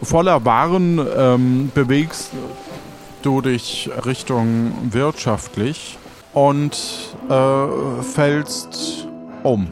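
Male game master: very slow, improvised adventure narration, faint music behind. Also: medium pitch.

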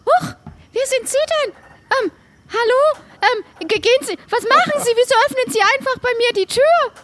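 A man's voice: very high-pitched, animated, normal speed, a bit bright, pitched above the performer's own voice. A man voicing a flustered, indignant, stammering woman in an improvised audio play. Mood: startled, indignant. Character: flustered, indignant woman startled in her hut.

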